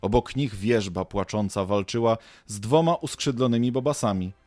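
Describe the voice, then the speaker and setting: A man's voice: fairly low pitch, normal speed, darkish tone, dry recording. A man narrating an audio drama as a storyteller, reading scripted prose. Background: faint music.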